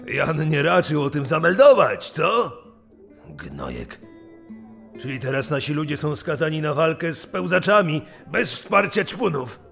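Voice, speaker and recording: A man performs a menacing prison colony boss for an audio drama. He speaks slowly in a creaky, gravelly voice that swings widely as he gives curt, irritable orders. Faint music sits behind him. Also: a little high, very animated, fairly bright tone, exaggerated voice. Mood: irritated, commanding. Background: background music.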